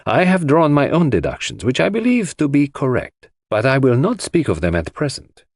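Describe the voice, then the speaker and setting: A man's voice: medium pitch, wildly swooping pitch, moderate pace, no echo, studio quality, voice pushed up. A man playing a shrewd, irritable detective, emphatic and swooping. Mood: irritable, lecturing. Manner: precise, emphatic, didactic.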